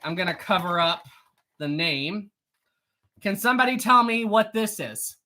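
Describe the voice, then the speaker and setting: A man in his thirties talks casually on a live stream. His voice is bright and lively, swinging high and low as he teases viewers. He laughs often and keeps clearing his throat. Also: measured pace. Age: 30s. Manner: playful and teasing, laughs often. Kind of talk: casual livestream chat reading comments.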